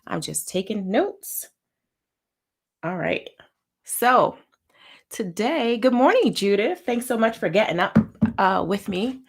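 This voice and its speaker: A woman's voice: medium pitch, sing-song, slow, long pauses. A woman therapist hosting a weekly live show, sounding a little nasal from a head cold, chatting casually and greeting viewers by name. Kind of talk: casual live-stream talk.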